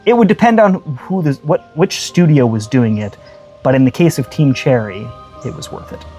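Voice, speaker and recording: A man with a mid-pitched voice talks as co-host of a game review. His delivery is earnest and emphatic, circling back to phrases for weight, with faint music behind him. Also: sing-song, normal speed, mellow. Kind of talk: conversational review.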